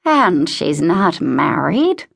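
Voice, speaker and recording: Narrator lady doing a cross bossy old woman from a storybook, gravelly n fussy, very emphatic. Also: lowish voice, big pitch swings, very slow, a bit bright, heavily acted. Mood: disapproving.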